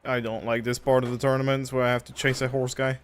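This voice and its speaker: Relaxed Polish-accented man chatting on stream. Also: mid-range voice, slight background noise. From Poland. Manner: relaxed, halting, frequent restarts. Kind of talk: casual live-stream chat while gaming.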